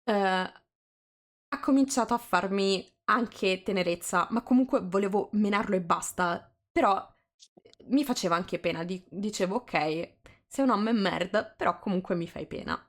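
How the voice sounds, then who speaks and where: A woman's voice: medium pitch, moderate pace. A woman talks in a loose livestream chat with a mid-range voice. She hesitates, circles back on her phrases and sometimes drops into English, hosting in an informal, unscripted way. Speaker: host of a live visual novel reading.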